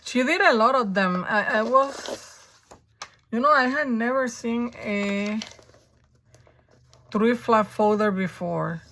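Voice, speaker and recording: A woman with a raspy, hoarse voice from a coming flu, chatting her way through a crafting tutorial and thinking aloud as she works. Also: on the high side, takes it slowly, pauses often, a bit bright, slight background noise. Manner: chatty, thinking aloud while working, frequent ums. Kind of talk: casual step-by-step crafting tutorial.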